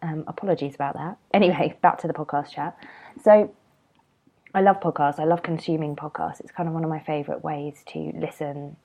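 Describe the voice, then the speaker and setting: A woman's voice: low voice, fairly even intonation, brisk, creaky, dark tone, highs cut off. A young British woman chatting casually and informally as a solo podcast host, rambling a little, nasal and congested with a cold. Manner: rambling, informal, self-deprecating.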